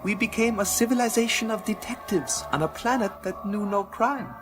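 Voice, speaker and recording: An actor playing a courteous, solemn alien detective guide in a radio play, with formal, stilted phrasing and broken English. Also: male voice, sits high, normal speed, lightly acted. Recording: music under the voice.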